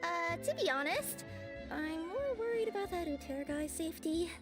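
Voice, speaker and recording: A kind-hearted cat-spirit courier girl in a video game, her voice pitched very high and sweet. She talks in a friendly, gently fretful way, with game music clearly audible behind her. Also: very animated, normal speed. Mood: worried. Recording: game audio with music under it. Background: game score music.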